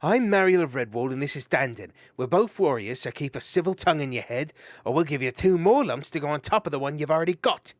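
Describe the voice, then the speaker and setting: A man voicing a brave, hot-tempered young female mouse warrior who speaks in a brisk, commanding way. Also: on the high side, very animated, fairly bright tone, dry recording, studio quality, voice pushed up. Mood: stern.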